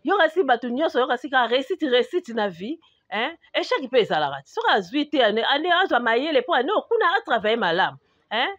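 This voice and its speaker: A woman with a Congolese accent playing an indignant, scolding market-trader mother in an animated comedy. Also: sits high, a little fast, no echo, muffled recording.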